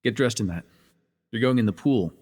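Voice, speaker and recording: A man's voice: medium pitch, a little fast, darkish tone, slight background noise, close to an everyday voice. A man playing a smug, teasing telepath supervillain who mentors a hero, in a wry, theatrical yet conversational ASMR roleplay. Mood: wry, bossy.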